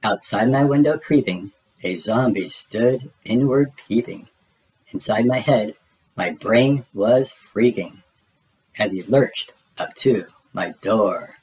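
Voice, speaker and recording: A man reading a spooky rhyming children's story aloud in a theatrical, deliberate way, as a storybook narrator. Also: fairly low pitch, darkish tone, breathy edge, poor audio.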